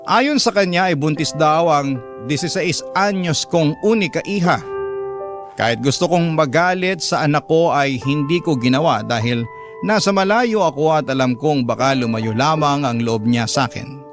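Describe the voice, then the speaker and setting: A Filipino man narrates a radio drama in the first person. His voice is fairly high for a man, sorrowful and measured, with music clearly behind him. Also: animated.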